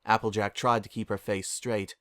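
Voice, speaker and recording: A man's voice: fairly low pitch, little pitch movement, normal speed, a bit bright, no echo. A man narrating a story as a dramatic reading.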